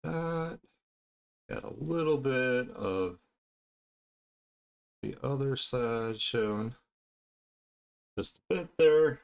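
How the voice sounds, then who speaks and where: A man chatting casually on an art live stream, talking haltingly and half to himself as he works. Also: mid-range voice, animated, vocal fry. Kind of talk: casual live-stream chat while drawing.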